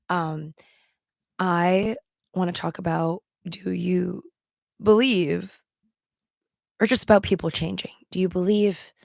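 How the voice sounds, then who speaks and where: A woman with a raspy, strained voice chatting casually to camera as a vlogger, rambling with many ums and likes while she talks around a throat candy. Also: on the low side, no room sound, clean studio sound. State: strained, worn voice. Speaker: livestream seller and vlogger.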